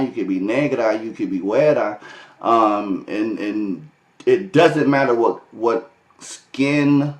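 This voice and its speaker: An American man talking casually to his vlog viewers, with loose chatty delivery, restarts and frequent chuckles. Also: mid-range voice. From United States. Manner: loose and conversational, many fillers and restarts, laughs often. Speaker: vlogger. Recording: echoey room.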